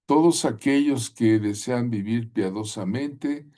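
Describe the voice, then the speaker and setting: A man teaching a Bible school class in a preaching style. He talks deliberately, often pausing on "eh", adding tag questions, and calling on listeners at home to repeat phrases. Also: medium pitch, warm tone.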